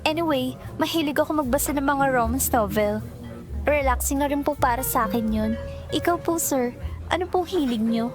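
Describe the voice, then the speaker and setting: A woman's voice: high-pitched, normal speed, music in the background, natural voice. A woman playing an eager young employee with a Filipino accent in a romantic audio drama, polite to her boss and secretly smitten. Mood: shy, teasing. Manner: polite and deferential, with flustered girlish asides. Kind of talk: romantic audio drama acting, Tagalog-English mix.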